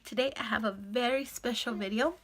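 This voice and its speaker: A woman's voice: on the high side, brisk, background noise. A young woman vlogger with a sore throat and a congested nose, chatting casually to camera with lots of fillers. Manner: chatty, with frequent fillers and laughs.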